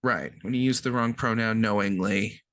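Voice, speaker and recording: A man's voice: on the low side, normal speed, fairly bright tone, dry recording, clean studio sound. A man co-hosting a podcast, explaining terms in casual chat with frequent ums and restarts.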